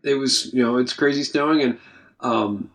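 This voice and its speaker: A young man chatting casually, rambling with many ums and uhs and trailing off quietly. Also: mid-range voice, moderate pace. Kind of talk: casual podcast chat.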